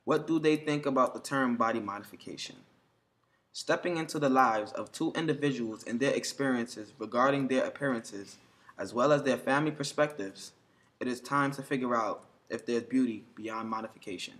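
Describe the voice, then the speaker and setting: A man's voice: medium pitch, moderate pace, a bit bright. A man reading a documentary narration in a plain, read-aloud way.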